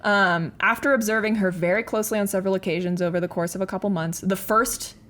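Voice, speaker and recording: A woman's voice: mid-range voice, fairly even intonation, fast talker, fairly bright tone. A woman telling a story as a podcast host in a casual, conversational way, with informal, slangy phrasing.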